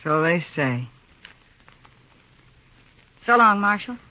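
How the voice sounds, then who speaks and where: A woman's voice: on the low side, big pitch swings, takes it slowly. An actress playing a bitter, self-reliant young American frontier woman in a 1950s radio western, curt and guarded. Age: young adult. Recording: dull, dry 1950s radio recording.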